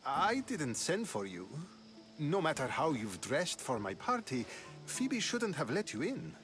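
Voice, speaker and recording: An aloof, weary statesman for a video game. His voice sits fairly high and swings dramatically in pitch, dry and theatrical, with music clearly playing behind it. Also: huge pitch swings, a little slow. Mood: dismissive. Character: aloof, weary male statesman hosting a party. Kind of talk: video game dialogue acting.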